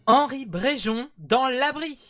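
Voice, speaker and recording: Lively woman presenting a live award show. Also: sits high, slow pace, music in the background.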